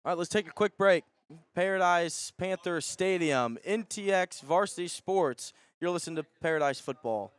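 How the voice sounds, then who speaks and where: A man calling live high school football as a radio play-by-play announcer. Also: moderate pace, smooth.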